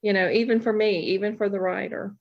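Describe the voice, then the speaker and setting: A woman's voice: medium pitch, fairly even intonation, fast, vocal fry. A woman novelist chatting casually and earnestly as an interview guest, on slightly muffled audio. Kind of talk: casual chat. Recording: dull, lo-fi audio.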